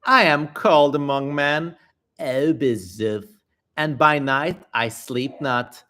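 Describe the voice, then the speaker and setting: A man's voice: a little high, slow, bright, forceful tone. A man voicing a fierce, defiant female demon spirit in a theatrical, haughty reading of an ancient text. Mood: menacing, boastful.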